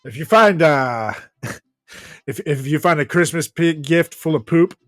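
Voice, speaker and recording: A man's voice: a little high, unhurried, no room sound, studio quality. A man hosting a podcast in casual, joking chat, often repeating his own phrases. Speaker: podcast host.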